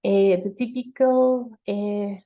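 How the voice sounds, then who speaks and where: A woman researcher giving an explanatory scientific talk in a halting manner. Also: medium pitch, fairly even intonation, highs cut off. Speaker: researcher presenting at a conference.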